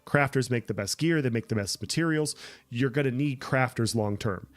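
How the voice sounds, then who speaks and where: A man's voice: medium pitch, moderate pace, no echo. A man chatting casually on a gameplay livestream, answering viewer comments as he plays. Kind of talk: casual gameplay stream chat.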